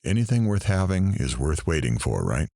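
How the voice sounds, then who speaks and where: A man's voice: very deep voice, big pitch swings, normal speed, dark tone, no room sound, clean studio sound, heavily acted. A man playing a sympathetic show host in an audio drama, attentively drawing out someone's story with questions. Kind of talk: audio drama acting, interview.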